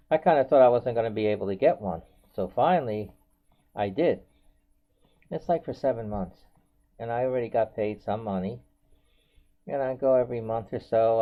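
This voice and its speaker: Old american guy in his 70s just rambling to the camera, repeats himself a lot, muffled phone-like sound. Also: medium pitch. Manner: rambling, repetitive, often says "you know". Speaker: video blogger. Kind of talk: unscripted casual monologue to viewers. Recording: dull, band-limited home video audio.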